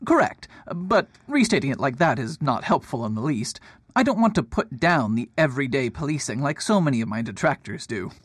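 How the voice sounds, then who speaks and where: A man's voice: medium pitch, sing-song, fairly quick, husky, dry recording, studio quality. A man playing a pompous, lofty detective. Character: proud pompous male detective, middle-aged. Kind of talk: radio drama acting.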